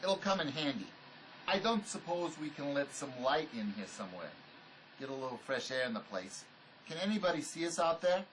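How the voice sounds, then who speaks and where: A man acting the part of a gruff, skeptical, sarcastic escaped convict and armed robber in a drama. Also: on the high side, sing-song, a little fast, a bit bright, a little hiss or hum, low-quality recording. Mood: wary, grumbling. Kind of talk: dramatic script performance.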